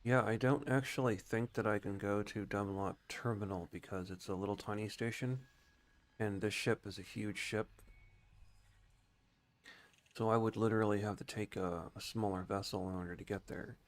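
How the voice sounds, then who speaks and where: A man with a fairly low voice talks through a video game as a streamer would. His delivery is casual and explanatory, as he thinks aloud in an informal way. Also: steady tone, fairly quick. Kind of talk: casual gameplay commentary and explanation.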